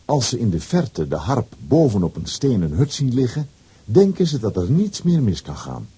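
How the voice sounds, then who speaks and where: A man's voice: mid-range voice, dark tone, husky. A man narrating a scripted children's audio drama as a dramatic storyteller.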